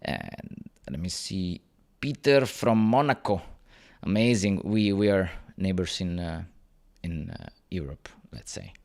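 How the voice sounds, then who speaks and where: A man's voice: low-pitched, expressive, a little slow, gravelly, a little mellow. A man in his thirties hosting a casual livestream, greeting viewers by name and chatting with lots of fillers and restarts. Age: 30s. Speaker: YouTube channel host. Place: rented apartment.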